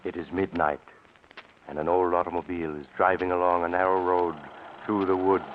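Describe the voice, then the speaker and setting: A man with an American accent narrating a radio mystery in a measured, dramatic, scripted old-time style. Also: low-pitched, level tone. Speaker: storyteller narrator. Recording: tinny, noisy old radio recording.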